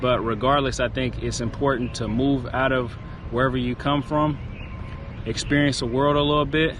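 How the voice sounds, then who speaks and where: A man's voice: mid-range voice, steady tone, moderate pace. A young man, talking to the camera outdoors in a rambling, reflective way with many fillers. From East Bay Area, California. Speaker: fitness vlogger. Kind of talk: casual vlog talk to camera. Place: outdoors by a city convention center. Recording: outdoor street noise, cars passing. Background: city traffic noise.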